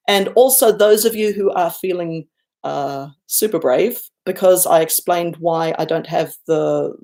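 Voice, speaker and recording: Gravelly-voiced New Zealand woman chatting live. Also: a little mellow. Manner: chatty and self-deprecating, bursts up high when thanking viewers. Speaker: YouTuber hosting a livestream Q&A. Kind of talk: casual livestream chat reading viewer comments. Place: home, looking out over a garden and lake.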